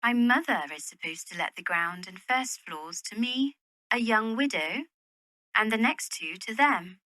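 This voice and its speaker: An actress playing a brisk, genteel and determined young widow who manages her family, in a period drama. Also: on the high side, expressive, bright, forceful tone, dry recording. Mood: composed. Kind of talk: period literary radio drama acting.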